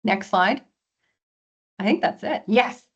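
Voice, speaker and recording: Woman, mid pitch, losing her voice; webinar presenter on a video call, throat clearing, lots of ums. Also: slow, highs cut off. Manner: frequent throat clearing, many fillers. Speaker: export presenter.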